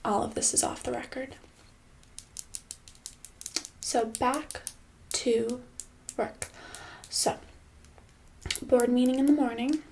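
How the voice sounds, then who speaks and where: A woman playing a controlling, passive-aggressive boss in a soft-spoken ASMR roleplay, breathy and slipping into whispers, condescending and casually menacing. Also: high voice, fairly even intonation, takes it slowly, long pauses, a little hiss or hum, full character voice. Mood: bossy, threatening. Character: controlling, passive-aggressive female boss holding an employee hostage.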